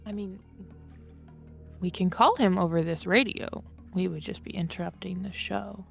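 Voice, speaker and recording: A woman's voice: lowish voice, normal speed, vocal fry. A woman bantering casually as a player in a tabletop roleplaying game. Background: faint music.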